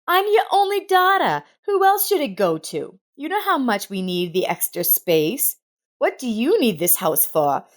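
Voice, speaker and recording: A woman's voice: medium pitch, pitched above the performer's own voice, natural voice. A woman voicing a selfish, pushy, indignant grown daughter in a narrated family drama story.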